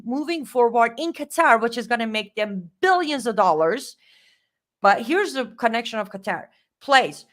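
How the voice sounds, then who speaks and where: A woman with a Middle Eastern accent co-hosting a live stream, talking in a lively, emphatic way. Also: on the high side. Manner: animated, talkative, emphatic. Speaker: co-host. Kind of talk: casual live-stream commentary.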